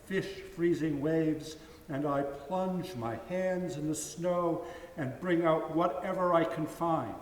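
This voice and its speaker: A man reads a story dramatically, taking his time and lingering over each phrase. His voice sits a little high for a man, and a long reverb trails it through a big, echoing hall. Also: a little mellow. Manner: slow, savoring each phrase.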